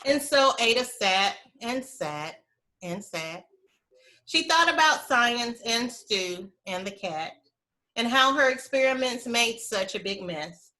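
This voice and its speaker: A woman reading a children's picture book aloud expressively, with deliberate phrasing, dramatic pauses and emphasis. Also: fairly high pitch, lively intonation, pushed and bright, rough recording.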